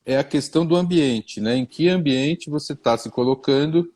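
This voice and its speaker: A man's voice: a little high, normal speed, darkish tone. A middle-aged Brazilian man chatting as a comedian guest on a live stream, hesitant and repeating words, with wry self-mocking jokes. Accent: Brazilian Portuguese. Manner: slips into pompous old-fashioned wording. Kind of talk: casual interview chat on a live stream.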